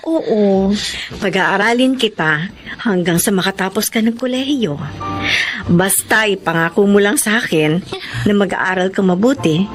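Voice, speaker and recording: A woman's voice: mid-range voice, a little slow, faint music behind, close to an everyday voice. An actress playing a generous, motherly middle-aged employer in a Filipino radio drama, composed and slipping English into her speech. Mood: caring, encouraging. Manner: composed, mixes English into Tagalog.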